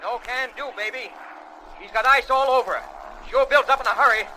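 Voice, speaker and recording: Reckless veteran flyer, very high, piercingly bright voice, clipped slangy orders, vintage aviation drama. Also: male voice, fairly quick, slight background noise, exaggerated voice, rough recording. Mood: urgent, brave. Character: cocky, reckless male veteran pilot, self-sacrificing.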